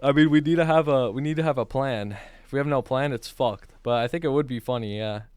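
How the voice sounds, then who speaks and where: A man's voice: mid-range voice, fast talker, darkish tone, clean tone, dry recording. A young man chatting casually as a streamer, blunt and sweary, with lots of slang. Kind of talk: casual chat.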